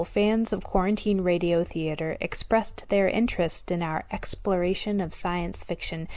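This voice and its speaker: A woman's voice: medium pitch, darkish tone, no echo. A woman reading a scripted introduction as the host of a radio drama show. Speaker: host and director. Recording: music under the voice.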